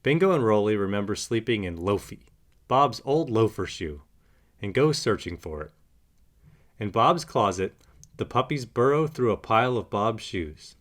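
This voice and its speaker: A man narrating a children's picture book in an animated read-aloud style. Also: fairly low pitch, moderate pace. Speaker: storybook narrator.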